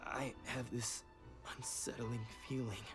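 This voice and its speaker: An actor playing an exasperated, impoverished samurai soldier in a video game, indignant and defensive. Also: male voice, mid-range voice, steady tone, slow. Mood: troubled. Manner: indignant, emphatic. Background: background music.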